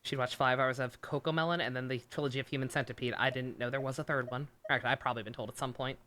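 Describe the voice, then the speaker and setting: A woman's voice: rumbling low, steady tone, very fast, fairly bright tone. A woman doing casual, joking live game commentary, sweary and sarcastic. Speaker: game streamer.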